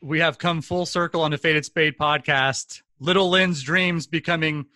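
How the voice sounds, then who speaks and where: Enthusiastic male podcast host, bright. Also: fairly high pitch, steady tone, moderate pace, dry recording, clean studio sound. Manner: enthusiastic, rambling, many fillers. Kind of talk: casual podcast interview. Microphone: desk condenser mic, video call.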